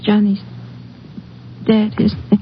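A woman's voice: mid-range voice, completely flat delivery, close to an everyday voice. Actress as a shaken young woman, old radio drama. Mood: grieving, pleading. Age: young adult. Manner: halting, stammering, pleading. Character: dazed, grieving young bride-to-be, crime witness. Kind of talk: 1950s radio drama acting. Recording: muffled, noisy 1950s radio recording.

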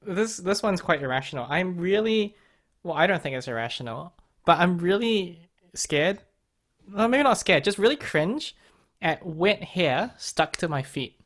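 A man's voice: sits high, expressive, a little slow, a bit bright. Playful young man on a podcast. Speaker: podcast co-host. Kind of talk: casual chat.

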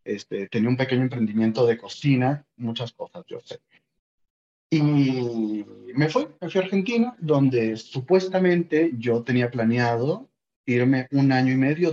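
A man's voice: mid-range voice, a little mellow, muffled recording. A man in his late thirties from Sonora, Mexico, with a northern Mexican accent, talking animatedly as an interview guest. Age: 30s. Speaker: guest, yoga teacher. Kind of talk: casual interview storytelling.

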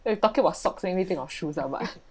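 Woman, thirties, playful podcast co-host, animated intonation. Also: fairly low pitch, fast talker, slightly rough. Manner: playful, swears while laughing. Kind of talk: casual banter.

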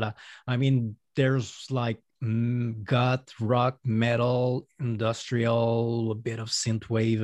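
A man's voice: mid-range voice, a little mellow, dry recording. A man from Quebec with a French Canadian accent, French as his first language, chatting hesitantly as a guest musician with many fillers and restarts. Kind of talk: casual podcast chat.

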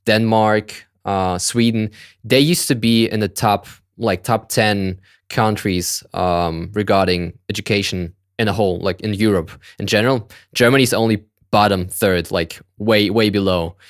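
A man's voice: fairly low pitch, fairly even intonation, a little slow, no room sound, studio quality. A man in his twenties, a Russian native speaker from Kazakhstan, talking casually as a guest and often repeating words while he searches for them. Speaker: music producer guest. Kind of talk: casual conversation.

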